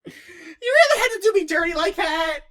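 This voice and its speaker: A woman voicing an excitable, awkward, geeky young male cartoon character who rambles and hesitates as he answers fan questions in character. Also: very high-pitched, normal speed. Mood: exasperated. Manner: animated, rambling, hesitant, self-interrupting.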